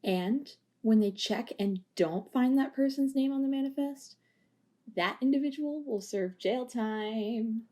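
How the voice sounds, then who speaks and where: A woman voicing a cold, calculating blackmailer in an audio drama. Her voice is dark and mellow, and she states everything flatly as fact. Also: on the high side, unhurried. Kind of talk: amateur audio drama acting.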